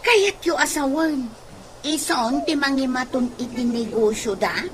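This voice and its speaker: A gossipy young woman in a comic radio drama. Her voice is high and she talks in a chatty, exclamatory way, readily astonished. The recording has clear background noise. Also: unhurried. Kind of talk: comedic radio drama acting.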